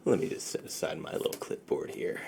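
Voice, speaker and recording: A man doing a soft-spoken ASMR roleplay as a shady, friendly underground dealer, with slow folksy patter that often drops to a near-whisper. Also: heavy background noise. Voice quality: gravelly, low, often breaking into near-whisper.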